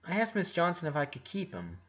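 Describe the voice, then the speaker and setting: A man's voice: on the high side, wildly swooping pitch, a little fast, a bit bright, dull audio. A man voicing a shy, guarded tomboyish young girl in a storybook reading, hesitant and bashful. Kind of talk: storybook character dialogue.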